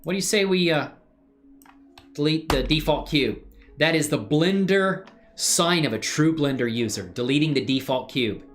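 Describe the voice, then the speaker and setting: A man's voice: fairly high pitch, expressive, normal speed. A man live streaming while he works on 3D models, chatting loosely with viewers in a rambling, self-deprecating way. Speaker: live streamer doing 3D work. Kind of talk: casual live-stream chat while working.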